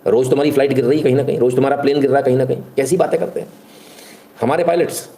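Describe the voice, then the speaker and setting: A man's voice: medium pitch, fairly even intonation, very fast, warm tone. A man hosting live news commentary, speaking emphatically and colloquially with rhetorical questions and bits of English mixed in. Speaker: live commentary show host.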